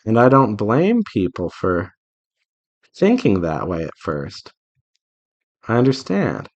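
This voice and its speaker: A man with a fairly low voice speaks very slowly and casually. He rambles in an earnest, conversational way, offering advice as he goes, like an artist hosting a relaxed drawing stream. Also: highs cut off. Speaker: visual novel developer and artist hosting a drawing devlog.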